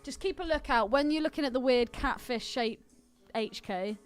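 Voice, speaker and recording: A woman co-hosting a podcast in casual chat about a film, animated and exclamatory, with plenty of swearing. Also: high voice, moderate pace, faint music behind. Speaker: podcast co-host.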